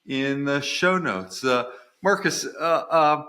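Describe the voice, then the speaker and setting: A man hosting a podcast over a remote call, introducing his guest in a halting way full of fillers. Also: medium pitch. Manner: warm, hesitant, many "uh" fillers. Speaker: podcast host.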